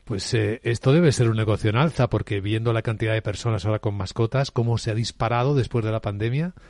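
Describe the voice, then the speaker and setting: A man's voice: fairly low pitch, fast, a little mellow, dry recording, studio quality. A man hosting a radio business interview, explaining points and ending them with "¿no?". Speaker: radio host.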